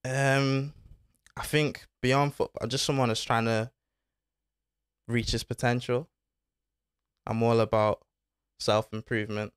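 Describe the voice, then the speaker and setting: A man's voice: medium pitch, flat delivery, measured pace, pauses often, clear, resonant tone, no room sound, studio quality. A young man, talking casually as a podcast guest, laid-back and full of slang. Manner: laid-back slang-filled talk, says bro and innit. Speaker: guest footballer. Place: small studio with foam panels. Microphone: desk mic on arm.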